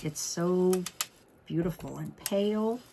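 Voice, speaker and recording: A woman's voice: fairly low pitch, slow, creaky, mellow, slight background noise. A woman with a slightly froggy voice hosting a craft tutorial, chatting informally and thinking aloud as she works. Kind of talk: chatty card-making demonstration.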